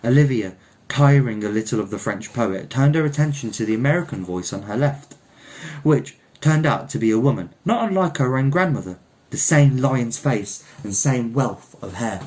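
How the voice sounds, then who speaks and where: Man narrating, medium pitch. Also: expressive, normal speed, darkish tone. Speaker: story reader. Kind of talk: literary story narration.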